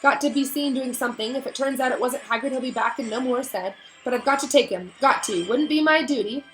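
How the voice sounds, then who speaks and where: A woman's voice: sits high, fairly quick, pitched above the performer's own voice, close to an everyday voice. A woman voicing a nervous, fidgeting middle-aged man, an official who sounds anxious and flustered. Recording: echoey room.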